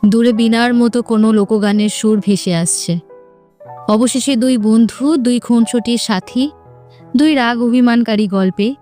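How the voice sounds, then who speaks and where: A woman's voice: fairly high pitch, mellow. A woman narrator reading a scripted romantic story.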